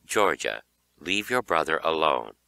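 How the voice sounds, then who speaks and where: A man's voice: a bass voice, very animated, creaky, pushed and bright, no room sound, pitched below the performer's own voice, full character voice. A man voicing a stern, exasperated mother in an audiobook, scolding and demanding. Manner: slow, demanding. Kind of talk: acted audiobook dialogue.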